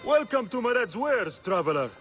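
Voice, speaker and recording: A man playing a sly, theatrical market trader in a video game, husky and showy in his delivery. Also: very high-pitched, big pitch swings, normal speed, fairly bright tone, faint music behind, exaggerated voice. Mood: sly, haggling. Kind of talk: video game cutscene acting.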